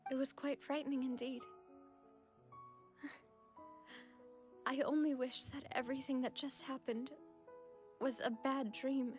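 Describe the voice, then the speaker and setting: Gracious young female leader for an anime game: high register, polite, dutiful, tender-hearted. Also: little pitch movement, slow pace, pauses often, over music. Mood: sad, grateful. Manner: polite, formal, earnest phrasing.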